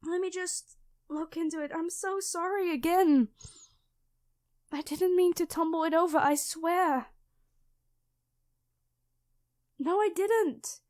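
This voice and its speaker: A woman's voice: extremely high pitch, measured pace, a little mellow. A woman playing a clumsy, nerdy classmate who stammers and rambles apologetically in an ASMR roleplay. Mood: panicked, apologetic. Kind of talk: ASMR audio roleplay addressed to the listener.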